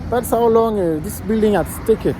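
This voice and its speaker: Chatty Kenyan guy, high voice, loud street. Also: brisk. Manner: chatty, rambling, self-correcting. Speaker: vlogger. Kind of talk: casual walking vlog. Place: outdoors on a city street. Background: traffic noise.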